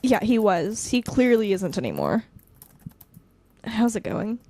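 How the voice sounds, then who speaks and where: A woman's voice: medium pitch, wildly swooping pitch, unhurried, mellow, no room sound, heavily acted. A woman playing a blunt, hot-tempered but caring young vigilante in a naturalistic audio drama, speaking emphatically. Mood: angry, scornful.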